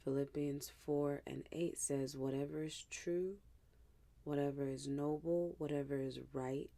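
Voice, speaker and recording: Slow, low-voiced woman guiding meditation. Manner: hushed, gentle, unhurried, with pauses. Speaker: meditation guide.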